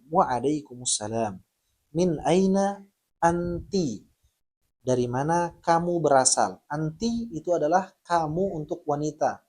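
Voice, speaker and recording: A man teaching an online language lesson in a friendly, patient way. He explains each point and slows down to spell out the example phrases. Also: on the high side, a little mellow. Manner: addresses viewers as friends.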